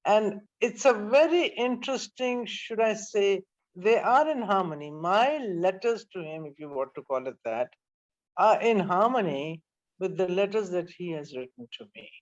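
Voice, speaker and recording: A woman in her seventies, an author reflecting on her book in an interview, telling her story with pauses and restarts. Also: mid-range voice, expressive, dull audio. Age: 70s.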